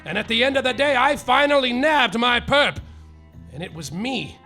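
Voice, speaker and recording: A man's voice: very high voice, lively intonation, moderate pace, bright, forceful tone, faint music behind, full character voice. A man playing a self-absorbed hardboiled male private detective, narrating in a rambling, boastful, melodramatic way on a live comedy stage. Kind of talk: live comedy radio-play acting.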